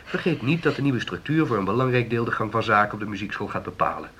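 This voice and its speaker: An actor playing a shrewd, teasing husband who gives wry advice in a naturalistic radio drama. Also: male voice, lowish voice, expressive, fast talker, fairly bright tone, slightly rough. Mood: shrewd, advising. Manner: wry, advising. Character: dryly teasing, shrewd husband coaching his ambitious wife.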